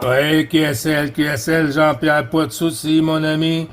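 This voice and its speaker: A man with a Quebec French accent and a slightly high voice runs a casual CB radio contact. He repeats his call phrases and addresses the other operator in a friendly, familiar way. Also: flat delivery, unhurried, music in the background. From Quebec, Canada.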